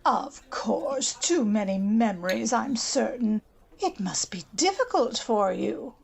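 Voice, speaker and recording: Woman acting a gushing, haughty older society lady, animated. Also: fairly high pitch, takes it slowly, creaky, full character voice. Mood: solicitous. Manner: affected, gossipy, imperious. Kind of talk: old-time radio drama acting.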